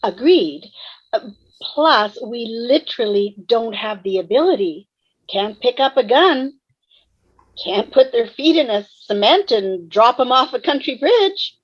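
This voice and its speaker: Casting: streetwise drawling comedy ghost read by a woman, high breathy voice, lively melody, lo-fi call audio. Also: unhurried. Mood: sly, gossipy. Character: sly, streetwise ghost woman with bootlegger past. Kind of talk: online table read of sitcom script. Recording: dull, lo-fi video call.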